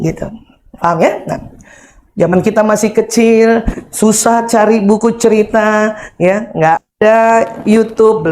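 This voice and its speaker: A woman's voice: medium pitch, mellow. Chatty woman giving a seminar talk. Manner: humorous, chatty, quizzes the audience. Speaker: seminar speaker on parenting. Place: seminar hall before an audience.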